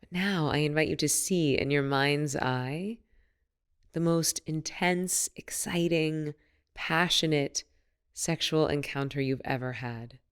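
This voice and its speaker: Smooth-voiced woman guiding a meditation. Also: deep voice. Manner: soothing, unhurried, gently instructive. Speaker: meditation teacher. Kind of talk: guided meditation.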